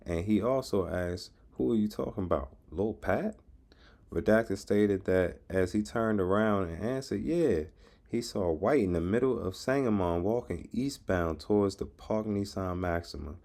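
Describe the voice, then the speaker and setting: A man's voice: deep voice, measured pace, darkish tone. An American man hosting a channel, casually commenting and reading documents aloud with frequent hesitations and street slang. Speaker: YouTube channel host.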